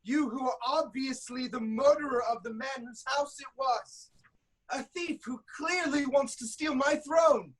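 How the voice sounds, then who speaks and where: A man's voice: extremely high pitch, measured pace, a bit bright, slightly rough, full character voice, low-quality recording. A man playing an angry, suspicious ancient Greek king in a declamatory, accusing stage tragedy reading.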